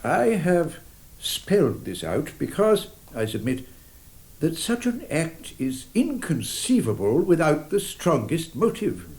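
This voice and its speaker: A man playing a grave English coroner in a radio drama, speaking formally and with measured emphasis. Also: a little high, huge pitch swings, a little mellow, exaggerated voice, poor audio. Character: grave, deliberate male coroner summing up at an inquest. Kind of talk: British radio drama courtroom speech.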